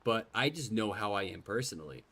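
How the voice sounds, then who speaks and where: A man's voice: lowish voice, expressive, a little fast, sharp tone, husky. A man with a slight lisp whose first language is Gaelic, chatting casually while streaming a game, rambling and self-deprecating, swearing now and then. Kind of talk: casual gameplay commentary. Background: faint game music.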